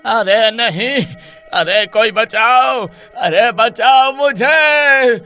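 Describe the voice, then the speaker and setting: A man voicing a panicky young husband who cries out loudly for help. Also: extremely high pitch, extremely slow, full character voice. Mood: panicked. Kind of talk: Hindi storybook voice acting.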